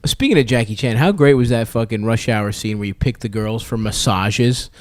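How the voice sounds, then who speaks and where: A man's voice: medium pitch, moderate pace, no echo. A man hosting a comedy podcast, teasing his guest and laughing often. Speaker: podcast host. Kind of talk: casual podcast banter.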